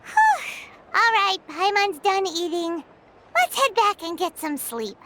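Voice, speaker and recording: Squeaky, very high little fairy sidekick. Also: female voice, expressive, a little slow, noisy background. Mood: chatty. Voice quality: squeaky, childlike. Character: tiny excitable childlike female fairy companion, gluttonous and chatty. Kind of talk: video game character acting.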